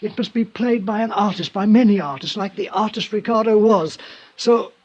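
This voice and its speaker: An actor playing a nervous, flustered violin maker in a 1950s radio drama, stammering and pleading. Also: male voice, high voice, normal speed, darkish tone, lo-fi sound. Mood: desperate. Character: nervous middle-aged male violin maker. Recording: old radio broadcast with music.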